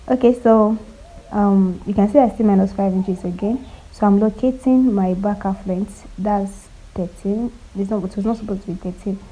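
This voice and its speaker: A woman with a cold walking viewers through cutting and sewing a top, speaking quietly and stopping often to check they are following. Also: measured pace, lo-fi sound. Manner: quiet, low-volume delivery. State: has a cold. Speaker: sewing tutor. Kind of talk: casual step-by-step sewing tutorial.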